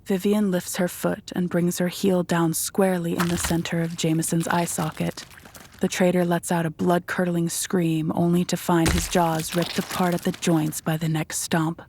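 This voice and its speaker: A woman narrates a horror audio drama from a script. Her voice is fairly low and stays very even while telling dramatic scenes, over faint background noise. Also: moderate pace.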